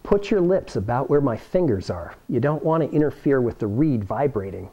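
A man's voice: medium pitch, very animated, normal speed, highs cut off. A man, giving a plain-spoken, encouraging how-to demonstration to camera as an instructor. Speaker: science toy-making instructor. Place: indoor workroom with cabinets.

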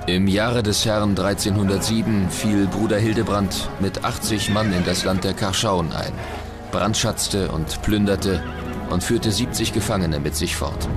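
Man as medieval chronicler, low voice, stern formal recitation, radio drama, music and horse sounds behind. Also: lightly acted. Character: medieval chronicler soberly recording raids and plunder.

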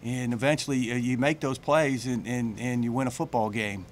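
Halting middle-aged man at a press table. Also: medium pitch, steady tone, slight background noise. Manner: plain-spoken, halting with many fillers and restarts. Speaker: head football coach. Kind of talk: postgame press conference. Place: press room with branded backdrop. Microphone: table mic on a stand.

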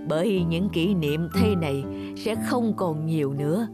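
An actress playing a gentle, devoted elderly wife in a cartoon, speaking earnestly and tenderly. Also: female voice, lowish voice, animated, a little slow, over music. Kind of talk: cartoon dub acting.

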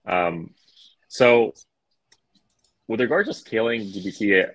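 A man moderating an online panel discussion over a video call, speaking haltingly with frequent filler sounds and restarts. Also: on the low side, expressive, fairly bright tone, no echo, low-quality recording.

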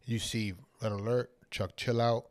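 A middle-aged man with a New York accent, a guest DJ on a podcast, telling animated and profane stories in a small studio on a close mic. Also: mid-range voice, measured pace. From Brooklyn, New York. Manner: animated, profane storytelling with bursts of emphasis. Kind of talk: casual podcast storytelling. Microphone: studio mic close up, wearing headphones.

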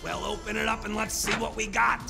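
An actor playing a bossy, impatient gang foreman barking orders in an audio drama, with loud music behind. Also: high-pitched, monotone, a little fast, creaky. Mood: bossy, urgent. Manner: barking, commanding.

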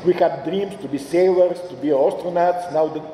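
A man in his sixties with a Bulgarian accent, giving an informal welcome speech, haltingly searching for words. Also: a little high, warm tone. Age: 60s. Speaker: institute director giving a speech. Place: large auditorium stage. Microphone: podium microphone. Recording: echoey hall, distant, faint noise.

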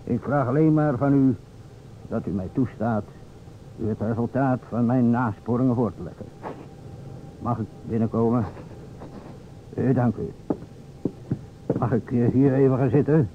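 An actor playing an earnest, insistent police inspector in an old radio play, formal and deliberate with hesitations. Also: male voice, medium pitch, natural voice. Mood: apologetic, solemn. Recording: tinny, noisy old radio recording.

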